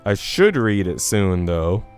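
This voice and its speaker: A man's voice: sits low, very animated, takes it slowly, smooth. A man livestreaming, chatting casually and informally with his viewers. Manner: informal, chatty, mildly profane. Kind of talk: casual chat and reading a visual novel aloud. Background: soft music.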